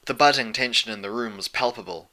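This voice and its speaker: A man narrates a work of fiction from a script. His voice sits at a middling pitch and has a very bright, forceful edge. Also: quick pace. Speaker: audiobook narrator.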